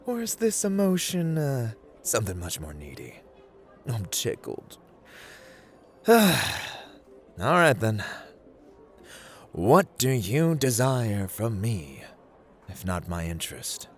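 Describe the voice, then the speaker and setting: A man playing a playful, arrogant god of luck in an ASMR roleplay, with a teasing, drawling, condescending manner and dramatic pauses. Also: fairly low pitch, very animated, a little hiss or hum, exaggerated voice. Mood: amused, mocking. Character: playful, arrogant god of luck, amused and dangerous gambling master. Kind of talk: ASMR roleplay, theatrical monologue to listener.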